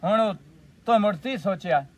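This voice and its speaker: A man's voice: sits high, lively intonation, normal speed, slight background noise. A young man with a rural Pakistani Punjabi accent playing a stubborn goatherd suitor in a village comedy drama. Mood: earnest. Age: young adult. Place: outdoors in open village field and yard. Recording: distant outdoor camera sound.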